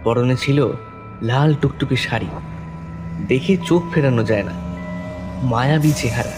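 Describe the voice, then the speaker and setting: A man's voice: mid-range voice, a little slow. A man narrating a scripted first-person horror story. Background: music.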